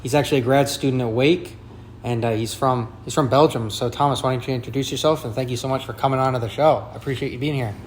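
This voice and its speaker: A young man hosting a podcast, asking questions in a relaxed, joking way. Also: medium pitch, fast, a little hiss or hum. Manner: friendly, jokey questioning. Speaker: podcast host. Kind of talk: casual interview chat.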